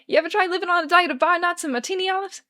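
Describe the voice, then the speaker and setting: A woman's voice: very high-pitched, very fast, sharp tone, pitched above the performer's own voice. A woman voicing a jittery, wisecracking male bartender who talks in a chatty, joking way.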